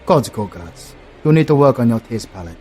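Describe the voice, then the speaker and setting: Man doing a cheeky, quick-talking little minion. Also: medium pitch, sing-song, warm tone, soft background music, lightly acted. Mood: mocking. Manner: fast, mocking, slangy. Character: cheeky, sassy pointy-eared goblin-like minion. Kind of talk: fantasy audio roleplay voice acting.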